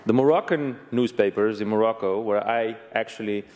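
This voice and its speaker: A man from the Netherlands with a Dutch accent, speaking as an entrepreneur on a panel in short, deliberate, emphatic phrases. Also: fairly low pitch, darkish tone, highs cut off. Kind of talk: conference panel talk. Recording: echoey hall.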